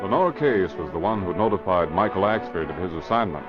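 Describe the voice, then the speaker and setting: Male radio-drama narrator, scripted and formal, lowish voice, dull archival sound with music behind. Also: expressive, a little fast. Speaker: announcer and narrator.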